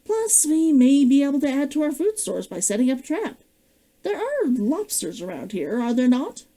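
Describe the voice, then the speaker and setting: A careful, practical male traveler from an audiobook-style story, talking plans with a steady, level head. A woman voices him in her own fairly high, mellow voice. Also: moderate pace. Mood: earnest.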